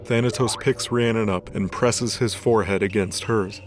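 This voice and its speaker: Male narrator, lower register, scripted dramatic storytelling over clear background music. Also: fairly even intonation, moderate pace.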